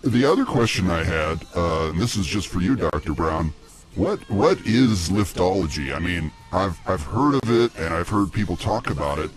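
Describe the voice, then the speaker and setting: A man with a deep, lively voice hosts comic banter. He is casual and a little halting, with music clearly playing behind him. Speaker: talk show host. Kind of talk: comedic talk show banter.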